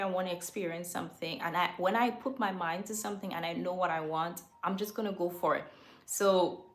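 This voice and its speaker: Woman host, casual livestream chat, candid and rambling, echoey home studio. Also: mid-range voice. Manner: rambling and candid, with frequent self-corrections.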